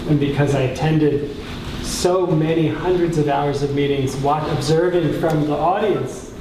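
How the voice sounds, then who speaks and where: A middle-aged man with a soft voice, giving thoughtful, halting remarks as a councilor at a public meeting. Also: a little high, fairly even intonation. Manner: thoughtful, halting, searches for words. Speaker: borough councilor speaking at a caucus meeting. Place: indoor council meeting room. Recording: echoey room, distant mic, clear background noise.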